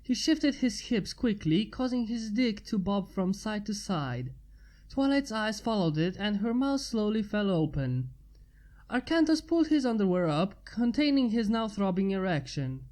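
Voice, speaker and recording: A woman's voice: medium pitch, sing-song, measured pace, darkish tone. A woman narrating a scripted piece of fan fiction as its reader.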